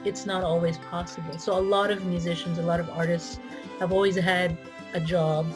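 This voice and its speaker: A woman's voice: fairly low pitch, level tone, a little fast. Woman from the philippines raised in canada, chatty interview guest, lots of restarts, music under her voice. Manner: chatty, with restarts and light laughs. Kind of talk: casual interview talk.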